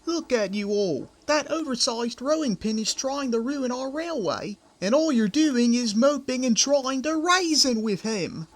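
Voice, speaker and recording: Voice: high-pitched, lively intonation, measured pace, few pauses. An actor playing a feisty, indignant little railway engine in a fan comic dub.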